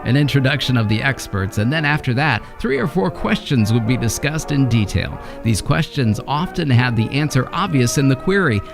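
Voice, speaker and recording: A man presents radio history in scripted narration. His mid-pitched voice sits over clearly audible music. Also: very animated, normal speed, few pauses.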